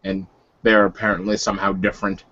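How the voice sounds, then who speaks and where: A lowish, muffled voice of a man playing a weary werewolf leader in a tabletop game. He is guarded and dry, speaking haltingly with cool menace. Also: little pitch movement, pushed and bright. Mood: grave, resolute.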